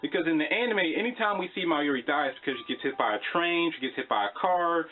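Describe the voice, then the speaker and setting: A man's voice: a little high, expressive, fast talker, phone-quality sound. A man hosting a video, giving an informal, conversational explainer commentary and repeating phrases for emphasis. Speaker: video host.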